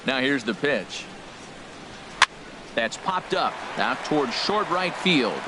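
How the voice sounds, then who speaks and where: Baseball play-by-play announcer, composed, professional, medium-pitched, sports video game, noisy ballpark behind. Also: male voice, sing-song, slow pace. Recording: stadium noise behind the commentary.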